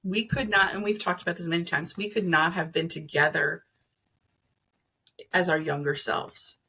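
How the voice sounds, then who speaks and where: A middle-aged woman speaks as a guest in a conversational interview. Her voice sits a little low, with a husky grain and frequent fry. She explains earnestly, stressing key words and acting out imagined remarks. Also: fairly even intonation, normal speed, sharp tone, rough recording. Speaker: podcast guest, energy healer.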